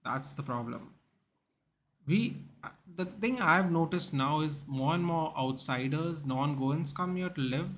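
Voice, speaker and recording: A man's voice: on the high side, animated, measured pace, a little hiss or hum. A young man with an Indian English accent from Goa, hosting a casual livestream and chatting with viewers by name, full of restarts and "dude" and "man". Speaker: livestream host. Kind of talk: casual livestream chat reading out viewer comments.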